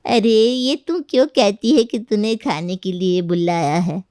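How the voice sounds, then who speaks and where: A woman voicing a stubborn older mother-in-law in an animated family story, scolding and sarcastic. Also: medium pitch, unhurried, no echo, studio quality, natural voice. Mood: scornful.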